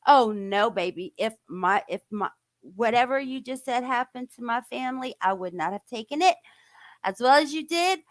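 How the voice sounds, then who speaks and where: A woman hosting a stream in casual chat, with an informal, halting way of talking. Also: on the high side, expressive, dry recording, clean studio sound. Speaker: host of a true-crime stream.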